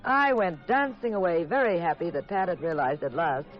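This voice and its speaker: An actress playing a detective's eager, proud wife, narrating a 1950s radio mystery in a perky storytelling manner. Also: female voice, lowish voice, normal speed, close to an everyday voice. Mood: perky, pleased. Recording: dull vintage radio recording with music.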